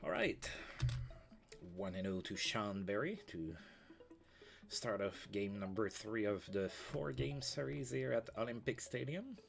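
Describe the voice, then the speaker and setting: A man's voice: lowish voice, a little slow, vocal fry, over music. Stuffy-nosed man calling a baseball sim. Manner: chatty, fillers, play-by-play calls. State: stuffy from allergies. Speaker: let's play host. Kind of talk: casual baseball sim commentary. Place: home streaming setup.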